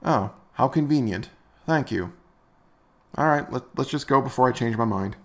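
A man's voice: medium pitch, moderate pace, vocal fry, a bit bright. A man hosting a comedic commentary video, sarcastic and exasperated as he rants. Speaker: host.

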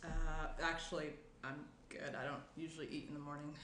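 A woman's voice: low voice, flat delivery, a little fast, pushed and bright. A young woman playing a guarded, sarcastic woman on an awkward morning-after, dry and brusque, in a naturalistic table read. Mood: reserved. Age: young adult. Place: indoor room, seated at round table. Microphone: shared desk microphone on table. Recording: roomy echo, clear background noise.

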